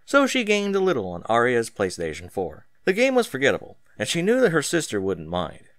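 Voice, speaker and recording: A man's voice: medium pitch, wildly swooping pitch, brisk, fairly bright tone, no echo. A man reading scripted fiction aloud as a dramatic narrator, close to the microphone.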